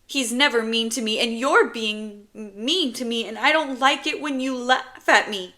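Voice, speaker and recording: Woman as ten-year-old boy, high bright voice, stubborn, protesting, upset, audiobook character. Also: animated, unhurried, exaggerated voice. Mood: frustrated, hurt. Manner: protesting, pestering, emotional.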